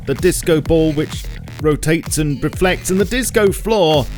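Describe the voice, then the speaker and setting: A man's voice: on the high side, unhurried, a little mellow. A man hosting a scripted first look at new game items, narrating with dry, wry asides. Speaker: video host showing new game items. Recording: music under the voice. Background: music.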